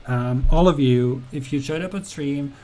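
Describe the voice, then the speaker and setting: Chatty streamer with light laughs. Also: male voice, medium pitch, moderate pace, a little mellow, rough recording. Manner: friendly, chatty, many ums and uhs, laughs often. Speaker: game jam stream host. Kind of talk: casual livestream chat answering viewer chat.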